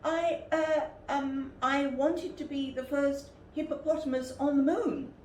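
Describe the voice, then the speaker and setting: A woman's voice: steady tone, measured pace, darkish tone. A woman voicing a meek, hopeful female hippopotamus from a storybook, apologetic and hesitant. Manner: apologetic, hesitant, high-voiced pleading. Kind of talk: storybook character voice. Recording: roomy echo, faint background noise.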